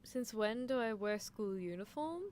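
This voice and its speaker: A woman's voice: fairly high pitch, expressive, a little mellow. Woman playing a teasing mad-scientist horse girl, noisy background. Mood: amused, dismissive. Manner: coolly clinical, teasing, unhurried musing. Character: gleefully unethical horse-girl mad scientist in lab coat. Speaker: streamer performing character roleplay. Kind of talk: ASMR roleplay, improvised character monologue. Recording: clear background noise.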